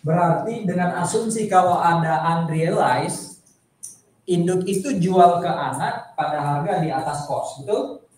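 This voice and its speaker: Very echoey distant recording, high-pitched male lecturer, Indonesian accent, conversational, repetitive, question-and-answer explaining. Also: a little slow, a little mellow, a little hiss or hum. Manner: conversational, repeats points and poses questions to listeners. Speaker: accounting lecturer. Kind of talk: spoken lecture, informal classroom explanation. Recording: echoey room, distant microphone.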